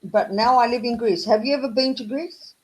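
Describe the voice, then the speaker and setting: A woman's voice: a little high, fairly quick, darkish tone, low-quality recording. A woman with an Australian accent, tutoring English over a video call in a patient, encouraging way that draws out questions. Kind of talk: online one-on-one conversation lesson.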